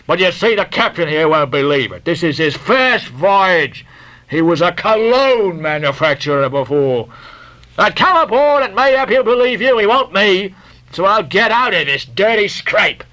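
A man's voice: high-pitched, sing-song, normal speed, sharp tone, voice pushed up, full character voice, rough recording. A man voicing a sullen, irritable ship's mate. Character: grumbling male French ship's mate.

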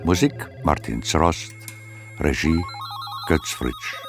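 A man announces a radio drama in a formal, scripted manner. His voice is very low and he speaks slowly and deliberately, with clear music underneath.

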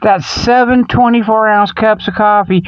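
A man's voice: sits high, fairly even intonation, normal speed, smooth, no room sound, highs cut off. A man hosting a rambling comic radio monologue in a folksy, colloquial, mock-scolding way, full of okays and you-knows. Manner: folksy, colloquial phrasing with frequent "okay" and "you know". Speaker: radio show host.

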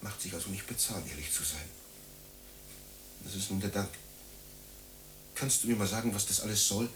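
Guy acting a needy self-pitying man in a radio play, lowish voice, rambles and stumbles over words, bit of noise. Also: lightly acted. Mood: bitter, insistent. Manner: halting, rambling, repeats himself. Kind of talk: German radio drama acting.

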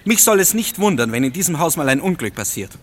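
An actor playing a resentful, rebellious young student, a governor's son, speaking scornfully in a radio drama. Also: male voice, on the high side, big pitch swings, quick pace, a little hiss or hum. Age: young adult. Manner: bitter, scornful. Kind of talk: German radio drama acting.

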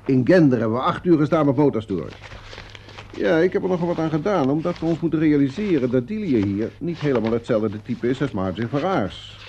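A man playing a bossy theatre director in a radio play, brisk and authoritative, placating yet short-tempered. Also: a little high, animated, darkish tone. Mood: explaining. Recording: dull, noisy old radio recording.

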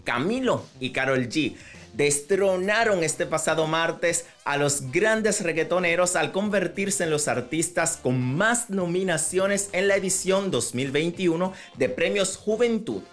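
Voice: mid-range voice, animated, a little slow. Una voz de radio de tono medio que presenta noticias de farándula y patrocinios en un programa matinal, hablando con cercanía al público. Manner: chatty, conversational asides to co-host and audience. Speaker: radio host. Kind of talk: entertainment news and sponsor reads on a morning radio show. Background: background music.

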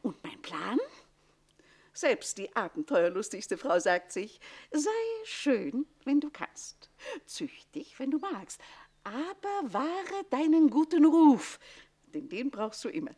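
An actress playing a jealous, scheming middle-aged governess in a radio comedy, with mock-polite, biting, emphatic speech. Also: female voice, high voice, very animated, slow, mellow, exaggerated voice. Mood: conspiratorial. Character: scheming, sharp-tongued jealous woman, a governess in a castle. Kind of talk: theatrical German radio comedy acting.

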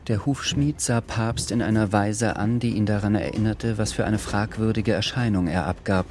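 A man narrating a literary radio drama from a script in a measured, sober manner. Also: fairly low pitch, steady tone, darkish tone, a little hiss or hum.

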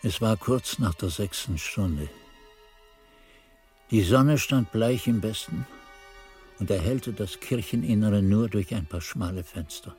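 An actor playing a monk chronicler, narrating a radio drama in solemn, descriptive literary prose. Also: male voice, fairly low pitch, takes it slowly, pauses often, darkish tone, soft background music. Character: monk chronicler recalling events in solemn detail.

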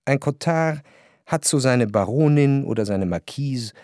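A man narrating literary prose for a radio drama in measured, deliberate phrases. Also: mid-range voice, lively intonation, mellow, no echo. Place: radio studio. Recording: dry studio with music behind.

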